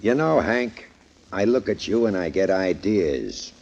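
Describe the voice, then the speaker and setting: Low-voiced cocky radio gangster. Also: male voice, animated, measured pace, creaky, darkish tone, exaggerated voice. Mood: smug. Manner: mocking, wisecracking, slangy. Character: cocky, sneering gangster, a fight-fixing gambler. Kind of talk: 1940s radio acting. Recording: muffled old 1940s radio recording.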